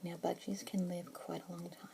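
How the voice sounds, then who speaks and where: A young woman in a whispered ASMR roleplay as a pet adoption consultant, speaking slowly and gently in a soft unvoiced whisper. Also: fairly low pitch, flat delivery, gravelly, slight background noise.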